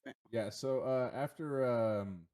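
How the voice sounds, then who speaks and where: A man acting as game master, narrating casually and haltingly as he thinks aloud. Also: medium pitch, dark tone.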